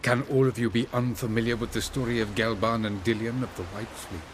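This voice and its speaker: An actor playing a learned, haughty male elf in a video game, formal and measured with a condescending manner. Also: medium pitch, steady tone, natural voice. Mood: lofty, dry. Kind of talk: video game cutscene acting. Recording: clear background noise and ambience.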